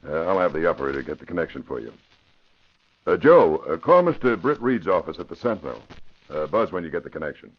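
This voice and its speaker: An actor playing a brisk, authoritative male police commissioner in an old-time radio drama. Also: sits low, big pitch swings. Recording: muffled old radio recording.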